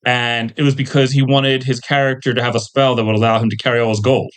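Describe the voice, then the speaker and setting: A man's voice: mid-range voice, flat delivery, quick pace. A middle-aged or older man speaking as a podcast guest, chatting casually and discursively about his hobby, with asides and hedged recollections. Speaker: podcast guest, veteran game designer. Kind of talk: casual interview conversation about tabletop gaming.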